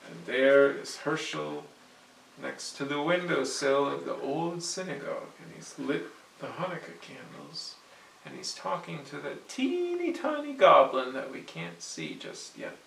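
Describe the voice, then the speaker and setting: A storyteller reading a picture book aloud to children in an animated, explanatory way. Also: medium pitch, unhurried, creaky, slight background noise. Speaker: storyteller, host. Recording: echoey room, distant mic.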